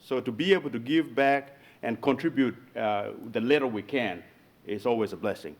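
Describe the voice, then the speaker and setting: A middle-aged or older man with a Ugandan accent giving a halting personal testimonial at a public meeting. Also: mid-range voice. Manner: halting, repeats words. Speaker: Rotary club member. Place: town board meeting room.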